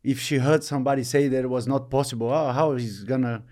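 Brazilian-born man, halting interview answers. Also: mid-range voice, fairly even intonation, darkish tone. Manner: halting, searching for words, many fillers. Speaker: retiring football player, guest.

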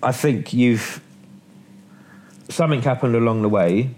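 A man's voice: medium pitch, measured pace, a little mellow, slight background noise. A man hosting a podcast, asking questions casually and chiming in eagerly. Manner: encouraging, eager interjections. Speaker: podcast host. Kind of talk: casual interview.

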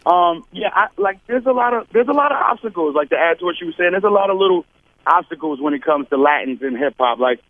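An easygoing man chats over a thin, tinny phone line. His voice sits a little high for a man. The sound is dry, with no room around it. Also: animated, quick pace, no room sound. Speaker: guest rapper calling in. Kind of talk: casual phone-in interview.

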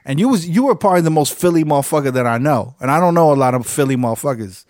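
An animated man tells loose stories full of slang and swearing. His voice sits a little high, and the sound is dry and close to the mic. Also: racing, dry recording. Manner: animated storyteller, profane, slangy. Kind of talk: casual chat.

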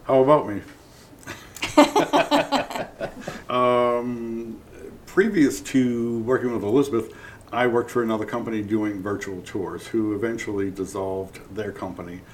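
A man's voice: fairly low pitch, a little slow, pauses often, a little hiss or hum. A man from Massachusetts, a business partner who tells anecdotes in a chatty way while being interviewed. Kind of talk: casual interview storytelling.

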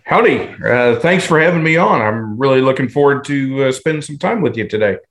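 A man in his fifties with an American accent talks as an interview guest. His pitch is average for a man. He is conversational and reflective, halting often between phrases. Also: animated, fairly bright tone. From southern Indiana, United States. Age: 50s. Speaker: podcast guest, training director and researcher.

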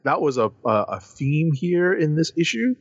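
A man's voice: on the high side, normal speed, slightly rough, dry recording, highs cut off. A man co-hosting a comic book podcast, running through new issues and their credits in a casual chatty way. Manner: lively, reads credits then chats. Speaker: podcast co-host.

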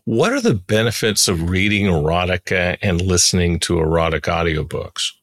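A man's voice: deep voice, animated, normal speed, no echo, studio quality. A man interviewing a guest conversationally as a podcast host.

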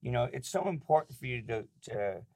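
A man's voice: medium pitch, fairly quick, mellow. A middle-aged man, a pastor and father, talking casually about faith with his adult daughter and telling family stories.

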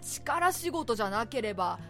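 A woman playing an eager, bookish and timid young male scholar, polite and excitable, in a theatrical radio drama. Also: on the high side, normal speed, exaggerated voice. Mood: puzzled, mild. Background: music.

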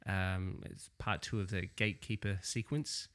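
Australian bloke hosting a table podcast, deepish voice, easygoing and self-mocking. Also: male voice, steady tone, moderate pace, fairly bright tone. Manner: chatty, self-deprecating, laughs often. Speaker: podcast host. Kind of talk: casual group podcast chat.

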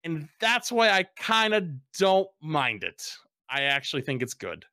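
Blunt young man with a bright voice. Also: fairly high pitch, very animated, measured pace, no echo, studio quality. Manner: blunt, swears freely. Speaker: streamer on a discussion panel. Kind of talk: casual panel discussion.

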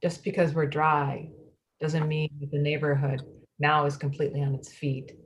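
A woman's voice: low voice, flat delivery, moderate pace, poor audio. An actor playing a frustrated female neighborhood resident in a readers theatre monologue, plainspoken and aggrieved.

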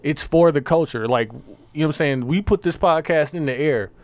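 A man with a slightly high voice co-hosting a podcast. He speaks earnestly and with passion, stringing his thoughts together in quick run-on sentences, and the sound is close and dry. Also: a little mellow, no room sound. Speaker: podcast co-host. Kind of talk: casual group chat.

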